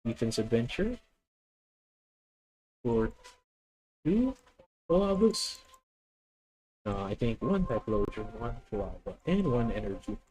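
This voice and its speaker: Filipino guy streaming a card game, mid pitch, slow and methodical, talks through every move and fixes his own words. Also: very animated, warm tone, low-quality recording. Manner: thinking aloud, methodical, self-correcting. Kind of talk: live deck-test commentary.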